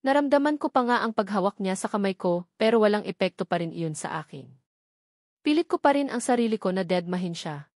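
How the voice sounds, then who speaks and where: A woman narrates a romance audiobook in the first person. Her voice sits at an average pitch for a woman. The recording is dry, with little room sound. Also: lively intonation, fairly quick, darkish tone, no room sound.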